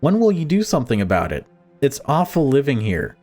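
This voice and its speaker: A man's voice: medium pitch, wildly swooping pitch, brisk, a little mellow, soft background music, pitched above the performer's own voice. A man voicing a distraught young daughter, fed up and pleading, reading visual novel dialogue aloud. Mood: distressed, pleading.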